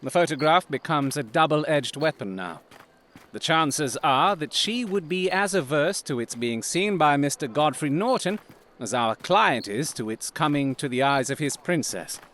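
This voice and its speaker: Shrewd detective, audio drama, higher male voice, lively swooping delivery, precise and instructing, confident. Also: moderate pace. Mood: analytical, assured.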